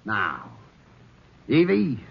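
A muffled 1950s radio recording carries a cornered, bitter gangster. He speaks slowly, with huge swings in pitch from menacing murmur to wild shout. He is taunting and volatile. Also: wildly swooping pitch, gravelly, slightly rough, full character voice. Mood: menacing, pleading. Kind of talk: 1950s radio crime drama acting.

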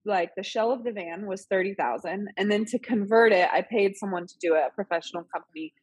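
A woman's voice: medium pitch, fairly quick, husky, dry recording, low-quality recording. A woman in her thirties, a little sick and nasal, chatting casually as a podcast guest with lots of fillers. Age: 30s. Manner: chatty, rambling with many fillers and laughs. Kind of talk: casual interview chat.

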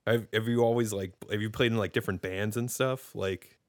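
A man hosts a casual podcast over a video call, asking rambling, hesitant questions with frequent restarts. His voice sits a little low, and the sound is dry and close, with no room echo. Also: fairly even intonation, a little fast. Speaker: podcast host.

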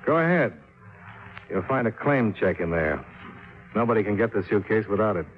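An actor playing a tough, level-headed insurance investigator in a 1950s radio drama, dry and matter-of-fact. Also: male voice, fairly low pitch, animated, moderate pace, lightly acted. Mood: cool, dry. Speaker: lead actor and narrator of a radio detective series. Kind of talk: 1950s radio drama acting, clipped first-person narration. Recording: dull 1950s radio recording, music behind.

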